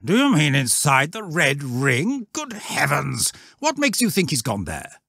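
A man voicing an irritable, hurried adult scoutmaster scolding and giving orders. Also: fairly high pitch, huge pitch swings, gravelly, sharp tone, husky, no echo, studio quality, pitched above the performer's own voice, heavily acted. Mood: exasperated. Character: exasperated, brisk male scoutmaster.

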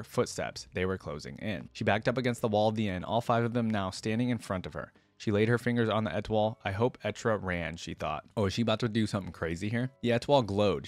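A man's voice: fairly low pitch, dry recording. A young man, reading a story aloud and adding casual reactions, recorded indoors on a close desk mic. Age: young adult. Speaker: reaction video host. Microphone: close desk microphone, with headset worn.

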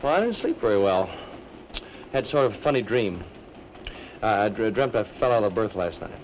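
A cheerful young man, played by an actor, trades easy jokes in a bright, lively voice. The hiss of an old 1950s film soundtrack runs under him. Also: lowish voice, moderate pace, lo-fi sound, close to an everyday voice. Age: young adult. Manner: cheerful, joking. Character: cheerful, joking young man on a sleeper train. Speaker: train passenger. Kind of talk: 1950s television drama acting.

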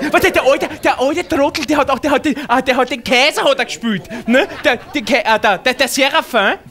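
A man's voice: very high voice, a little fast, a bit bright. An actor playing a grumpy, rambling older Viennese man heckling from the audience, stammering and coarse, in a live cabaret sketch. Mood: confused. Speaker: comedy partner playing a heckler. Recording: live stage recording with audience laughter.

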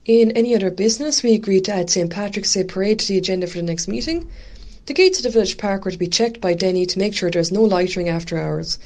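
A woman's voice: mid-range voice, quick pace, darkish tone, natural voice. A woman playing a dutiful, chatty Irish village committee secretary in an amateur radio drama.

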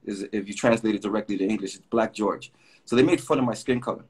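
Middle-aged guy on a webcam call, husky kinda low voice, rambles with lots of you knows. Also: quick pace. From Puerto Rico. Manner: rambling, reflective, full of fillers. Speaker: graffiti artist guest. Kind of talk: casual storytelling interview. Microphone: video call webcam.

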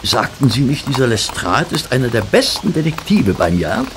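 A man's voice: mid-range voice, sing-song, normal speed, noisy background, close to an everyday voice. A man playing a polite, curious doctor and detective's companion in a German audio drama, hesitant and easily astonished. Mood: eager questioning. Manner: hesitant, easily amazed, questioning.

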